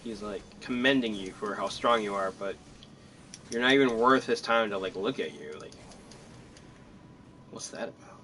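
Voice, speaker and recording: A man chatting casually as he streams a game, joking and rambling in an animated way. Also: fairly low pitch, moderate pace, long pauses, slight background noise. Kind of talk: casual gameplay commentary and chat.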